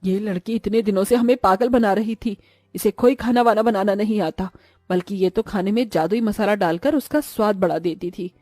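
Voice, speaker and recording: A woman acting an expressive, melodramatic household woman in a family cartoon story. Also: mid-range voice, normal speed, no room sound, lightly acted. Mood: accusing.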